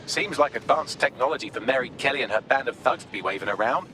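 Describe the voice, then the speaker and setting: A man's voice: medium pitch, lively intonation, fast, bright, forceful tone, a little hiss or hum. A man with a British accent voicing a sardonic, chatty AI assistant in a video game, droll and theatrical. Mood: wry.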